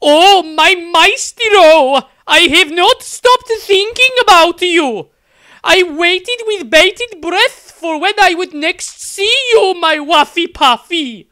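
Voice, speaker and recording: A man voicing a burly, besotted nun with a shrill, drawn-out, gushing delivery in a fantasy story. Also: lively intonation, bright, forceful tone, heavily acted. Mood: rapturous. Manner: gushing, drawn-out, overly enthusiastic.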